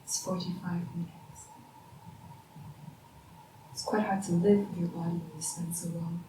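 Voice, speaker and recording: A woman's voice: fairly high pitch, big pitch swings, unhurried, very echoey. Actress playing proud sore-loser woman mathematician, sardonic, argumentative, stage drama, distant from mic, faint noise. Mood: hushed, intense. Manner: sardonic, argumentative, theatrical, sweary. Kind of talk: stage-style two-hander drama dialogue.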